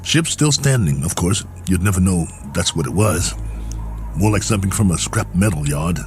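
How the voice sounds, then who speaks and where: Music plays clearly behind a low male voice as a stranded space colonel. He is lonely and reflective, musing with wry, world-weary resignation in the manner of a radio drama monologue. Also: animated, moderate pace.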